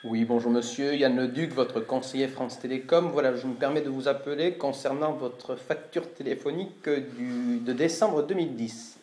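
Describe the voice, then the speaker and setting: A man's voice: medium pitch, normal speed, few pauses, a little mellow, slight background noise, natural voice. A man playing a fussy, stammering telecom billing adviser in a prank phone call, formally polite and apologetic yet insistent. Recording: roomy, echoey room sound.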